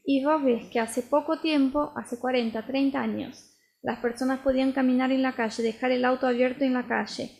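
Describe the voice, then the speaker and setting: A woman in her thirties from Brazil, native Portuguese speaker, co-hosting a casual podcast and explaining her points through lively everyday stories. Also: high voice, normal speed, gravelly. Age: 30s. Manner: lively storytelling, explaining with examples. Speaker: podcast co-host, missionary.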